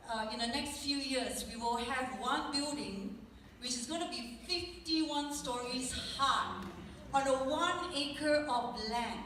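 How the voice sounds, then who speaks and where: A woman's voice: sits high, fairly bright tone, distant mic. A woman with a Malaysian accent speaking informally and conversationally as a resident at a town hall meeting. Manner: conversational, engaging the audience with questions. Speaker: resident speaking for concerned neighbours. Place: large indoor hall at a public meeting. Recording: echoey hall from afar, noisy crowd.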